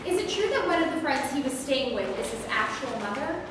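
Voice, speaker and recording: A woman reads a brisk TV reporter who fires off questions. Her voice is fairly high. It sounds distant and echoey, with a clear hiss behind it. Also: big pitch swings. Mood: pushy. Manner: crisp news-anchor delivery. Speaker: actor in a staged reading. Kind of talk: staged play reading. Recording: echoey hall from far off, background hiss.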